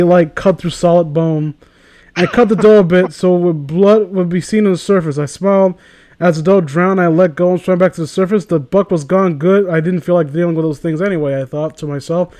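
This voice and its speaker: High-voiced man, sarcastic read-aloud host. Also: darkish tone. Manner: sarcastic, incredulous, swearing. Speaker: host reading a story aloud. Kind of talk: comedic read-aloud with riffing commentary.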